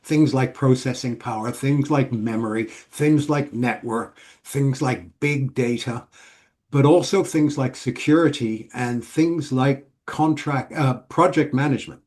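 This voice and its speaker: Guest: middle-aged man from Switzerland, measured, lists points, mid pitch. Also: a little mellow. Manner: measured, explanatory, listing points. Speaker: guest, author. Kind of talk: casual interview.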